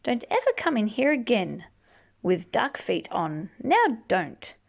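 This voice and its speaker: A woman's voice: mid-range voice, huge pitch swings, takes it slowly, creaky, full character voice. A woman voicing a strict, exasperated mother from a storybook, bossy and emphatic. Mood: scolding. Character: strict, exasperated mother scolding her child.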